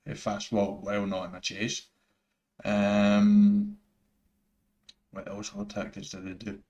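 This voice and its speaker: Man, thick Scottish accent, lowish voice, rambling gameplay commentary, repeats himself. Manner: earnest, opinionated. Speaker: gaming YouTuber. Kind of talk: opinion commentary over gameplay footage.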